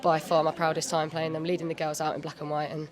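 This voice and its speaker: A woman team captain giving a halting, modest post-match interview pitchside, full of "like" and "um" fillers. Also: monotone, over music. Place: pitchside at an outdoor stadium.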